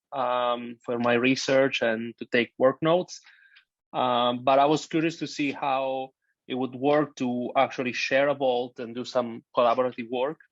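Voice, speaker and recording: A man's voice: mid-range voice, dry recording. A man with an Italian accent introducing himself on a video call, hesitant and full of "uh" fillers. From Italy. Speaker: book club member introducing himself. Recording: dry, dull lo-fi call audio.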